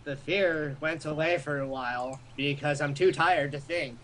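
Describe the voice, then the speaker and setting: A man's voice: on the high side, normal speed, fairly bright tone, a little hiss or hum. A young man giving casual, wisecracking commentary while sharing the reading of a visual novel. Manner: wisecracking, interjecting. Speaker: let's-play co-host. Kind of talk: joking commentary and casual read-aloud.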